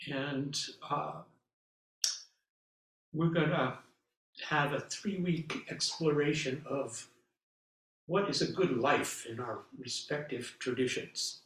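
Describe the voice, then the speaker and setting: A man speaking as an abbot, opening a class with relaxed, hesitant remarks full of pauses. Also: fairly high pitch. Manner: relaxed, with many fillers and pauses. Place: meditation hall. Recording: echoey hall, mic far off.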